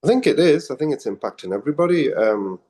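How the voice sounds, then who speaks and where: A man in a radio studio with a husky voice today, speaking in a measured, thoughtful way and hedging his points. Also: mid-range voice, expressive, dark tone. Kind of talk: casual radio chat.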